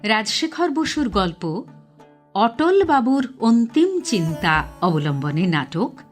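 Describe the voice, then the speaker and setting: A woman announcing a radio play in a measured, formal way. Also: fairly high pitch, very animated, music in the background.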